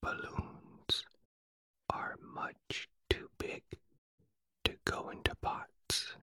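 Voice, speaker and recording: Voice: very slow, no room sound. Pooh, a kind cheerful bear, whispered by a reader. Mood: eager whisper. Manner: soft whisper. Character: cheerful honey-loving bear, simple and kind.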